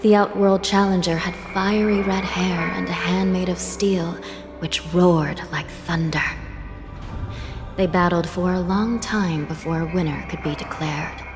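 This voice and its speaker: A woman's voice: on the low side, steady tone, measured pace. An actress voicing a solemn young female judge of the underworld in a video game, polite and formal, with wistful, ominous delivery. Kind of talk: scripted video game dialogue. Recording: long hall reverb, music behind.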